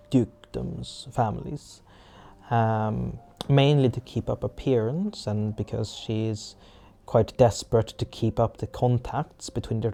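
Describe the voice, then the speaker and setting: Guy running a roleplay game, kinda mellow n gravelly, halting, hunts for his words. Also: mid-range voice. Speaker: game master. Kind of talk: improvised scene-setting for a tabletop roleplay audio drama.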